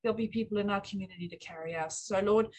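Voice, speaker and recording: Husky woman in her forties giving testimony. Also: medium pitch, racing, a little mellow, rough recording. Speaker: church member giving testimony and offering prayer. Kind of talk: informal testimony and prayer.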